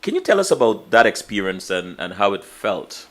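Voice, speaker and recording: A man's voice: fairly low pitch, sing-song, moderate pace, a bit bright. A man hosting an interview, asking long, searching questions with many stammered restarts. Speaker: interview host.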